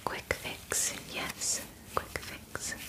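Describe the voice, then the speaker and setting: A gentle female alien examiner, played by a young woman, speaks in a soft whisper close to the mic. She takes her time, with slow, formal, soothing phrasing and a calm clinical manner suited to an ASMR personal attention roleplay. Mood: calm, focused. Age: young adult. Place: bedroom set, indoors. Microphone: close ASMR microphone.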